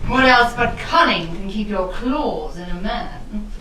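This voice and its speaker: A woman playing a scornful, scheming rich widow, a sharp-tongued villainess in a theatrical costume comedy. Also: medium pitch, lively intonation, unhurried, lightly acted. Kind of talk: theatrical period comedy acting. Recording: very echoey room, distant mic, clear noise.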